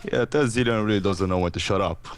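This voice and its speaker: Male co-commentator, very low pitch, dry, agreeable, casual gamer talk. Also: fast talker, a little hiss or hum. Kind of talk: casual game chat.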